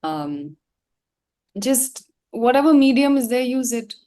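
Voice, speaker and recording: A woman's voice: fairly high pitch, big pitch swings, slow pace, warm tone. A young adult woman, speaking casually and reflectively as an interview guest, with informal, slangy asides. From India.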